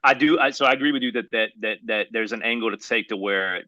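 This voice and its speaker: A man chatting casually as a podcast guest, excitable and often halting, repeating his words as he goes. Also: lowish voice, no echo. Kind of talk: casual chat.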